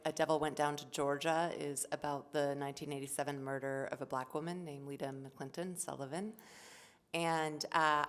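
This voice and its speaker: A woman, a nonfiction crime author, introducing herself briskly on a panel. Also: low-pitched, clear, resonant tone.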